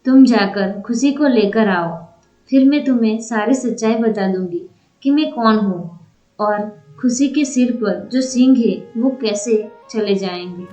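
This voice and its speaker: A woman's voice: on the high side, a little slow, dark tone, reverberant, close to an everyday voice, poor audio. A woman playing a grave, centuries-old female spirit who gives warnings in a cartoon story. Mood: urgent, insistent. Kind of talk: Hindi cartoon story dialogue.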